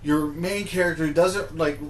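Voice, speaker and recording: Higher-voiced man chatting informally. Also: normal speed. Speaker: podcast co-host. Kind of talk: casual panel discussion. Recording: faint background noise.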